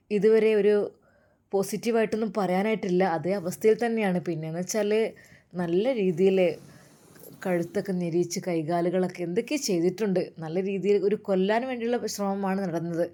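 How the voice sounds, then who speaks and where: Woman acting a hospital doctor, grave, hesitant, matter-of-fact, mellow, medium pitch, story dialogue. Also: normal speed, close to an everyday voice. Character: grave hospital doctor giving a discouraging report.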